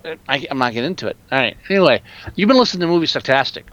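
A man's voice: mid-range voice, animated, rapid-fire, fairly bright tone, muffled recording. A man co-hosting a podcast, ranting in exasperated, heavily profane and opinionated casual talk. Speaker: podcast co-host. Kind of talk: casual, opinionated film chat.

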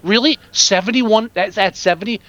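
A man's voice: high-pitched, normal speed, a bit bright, highs cut off. A man co-hosting a podcast, ranting in exasperated, heavily profane and opinionated casual talk. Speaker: podcast co-host. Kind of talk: casual, opinionated film chat.

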